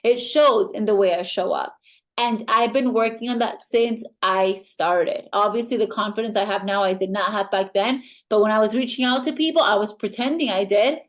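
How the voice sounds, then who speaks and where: A woman's voice: medium pitch, normal speed. A woman with a foreign accent giving a motivational training talk as a team mentor, emphatic and insistent.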